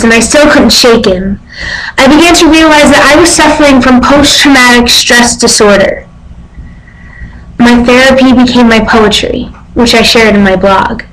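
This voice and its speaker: A woman's voice: fairly high pitch, a bit bright. A young woman performing a confessional stage monologue as an earnest, wounded therapist and survivor. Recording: noisy webcam microphone with room noise.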